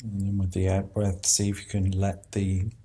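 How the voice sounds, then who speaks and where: A man leading a guided meditation as a teacher, speaking in an unhurried, halting way with long pauses. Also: deep voice, completely flat delivery, mellow, breathy edge.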